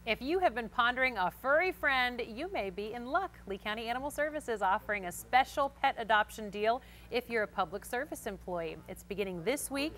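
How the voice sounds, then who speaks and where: A woman's voice: a little high, expressive, a little fast, few pauses, fairly bright tone. A woman reading a local TV newscast as an anchor, adding chatty, encouraging remarks to the scripted copy. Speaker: TV news anchor.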